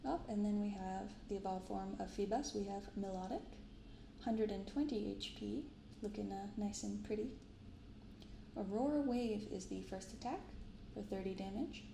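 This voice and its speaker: A woman speaking softly in an ASMR style, giving gentle, unhurried casual commentary as host of a card-opening video. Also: mid-range voice, gravelly, echoey room, noisy background. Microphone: binaural microphone.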